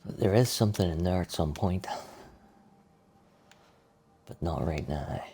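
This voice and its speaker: A man's voice: low-pitched, measured pace, dark tone, breathy edge. A man giving casual, sweary commentary on a video game livestream, chatting to himself through a headset. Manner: sweary, chatty, talks to self.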